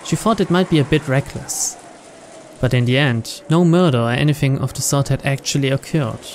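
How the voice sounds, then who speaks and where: A man's voice: medium pitch, normal speed, darkish tone, a little hiss or hum. A man reading scripted story narration as host of a visual novel playthrough.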